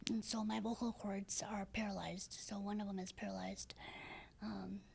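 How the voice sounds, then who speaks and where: Breathy, raspy woman in her thirties. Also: medium pitch, level tone, moderate pace. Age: 30s. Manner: soft-spoken, hesitant with many fillers and restarts. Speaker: podcast guest. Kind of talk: casual interview talk.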